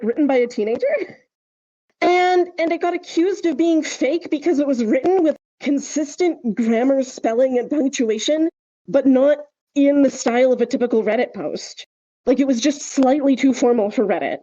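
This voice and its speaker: A woman's voice: high voice, warm tone, muffled recording. A woman chatting casually and emphatically as a podcast co-host, wry and discursive, with plenty of "like" along the way. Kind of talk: casual opinionated podcast chat.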